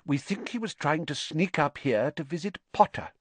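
A man voicing a grave, shaken older female professor in a storybook, speaking briskly. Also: on the high side, lively intonation, breathy edge, no room sound, pitched above the performer's own voice.

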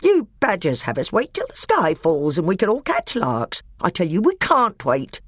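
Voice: mid-range voice, huge pitch swings, moderate pace, husky, no echo, clean studio sound, voice pushed up. A reader voicing a bitter, angry male dwarf who snarls accusations. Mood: snarling.

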